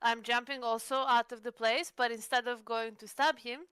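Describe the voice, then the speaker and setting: Female tabletop player, bright and clear, casual chat, very dry sound. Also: a little high, little pitch movement, moderate pace, no echo.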